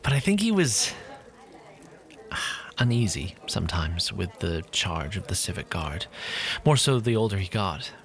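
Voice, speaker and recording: A man playing a cool-tempered, wistful city guard officer in a fantasy audio drama, speaking in a measured, hesitant way. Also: deep voice, big pitch swings, heavily acted. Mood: wistful, uneasy.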